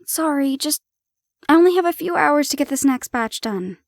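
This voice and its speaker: A woman acts a harried, loving young girlfriend in an audio roleplay. Her voice is high and expressive, with a teasing, coaxing way of talking. The recording is close and dry. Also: normal speed, no echo. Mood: stressed, exasperated.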